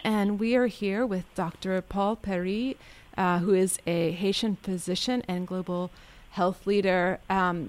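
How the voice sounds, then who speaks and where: A woman hosting a radio show, introducing her guest with a friendly, scripted delivery. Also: medium pitch. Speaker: radio host.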